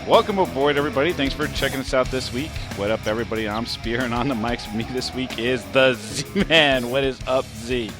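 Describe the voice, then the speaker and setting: A male podcast host who jokes loudly and swears while introducing guests. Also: mid-range voice, a little fast, few pauses, music in the background. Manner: loud, joking, swears. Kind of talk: casual podcast chat.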